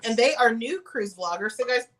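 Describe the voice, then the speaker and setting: A woman's voice: a little high, animated, moderate pace, a bit bright, poor audio. A woman hosting a casual livestream chat who sounds congested and nasal from a cold, greeting viewers with frequent "um"s. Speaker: host and travel advisor.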